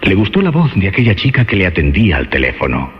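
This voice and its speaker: Deep, expressive man narrating suspense. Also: fast talker, noisy background. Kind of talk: dramatic scripted suspense narration. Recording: muffled radio sound, music bed behind.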